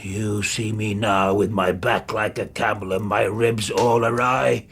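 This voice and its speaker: An actor playing a bitter, crippled older ex-soldier telling his story in a radio drama, halting and emotional, with a rasping voice. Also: fairly low pitch, level tone, fairly bright tone, slight background noise. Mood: bitter, wistful.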